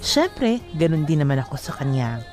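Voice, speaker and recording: A woman's voice: sits low, sing-song, normal speed. A woman narrating in first person as a young woman recalling her romance, reflective and wistful. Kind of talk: dramatic storytelling narration. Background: background music.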